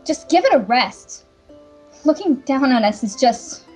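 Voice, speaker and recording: Woman playing a high-voiced, defiant young heroine. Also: lively intonation, a little slow, a little mellow, faint music behind. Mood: exasperated. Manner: challenging, scornful. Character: eighteen-year-old female champion, defiant, indignant, wary.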